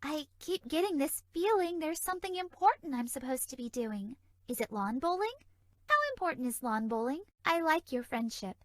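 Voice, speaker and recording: A sweet, naive fairy for a video game. Her voice is extremely high and airy, with animated, bouncy melody. She sounds innocently flirty, in a very dry recording. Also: normal speed, dry recording. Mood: shy, flirty. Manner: bubbly, innocently suggestive, airy. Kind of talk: video game character dialogue.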